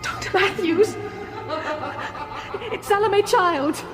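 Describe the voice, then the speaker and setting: A woman playing a frightened, devoted wife in a radio drama, breathy, faltering and emotional. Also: very high voice, slow, over music. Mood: panicked.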